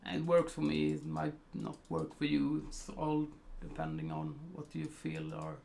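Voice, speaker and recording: A man from Sweden with a Swedish accent, talking through a live digital painting tutorial in slow, hesitant narration full of fillers. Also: a little high, monotone, gravelly, a little mellow.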